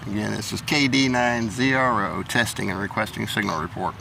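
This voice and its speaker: A man with a fairly low, gravelly voice makes casual amateur radio calls and remarks. He is outdoors, with plainly audible noise and vehicles behind him. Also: fairly quick. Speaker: amateur radio operator and vlogger. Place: outdoors in a local park.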